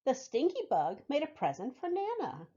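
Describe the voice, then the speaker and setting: A woman reading a picture book aloud for young children, with expressive, deliberate phrasing, as a library storytime reader. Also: high-pitched, muffled recording.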